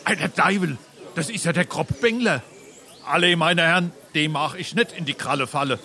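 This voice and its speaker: Over clear background noise, a man plays a wry, uneasy drinking companion. His fairly high voice speaks broad Darmstadt dialect in stage-comedy style. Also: normal speed, natural voice. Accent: Hessian, Darmstadt dialect.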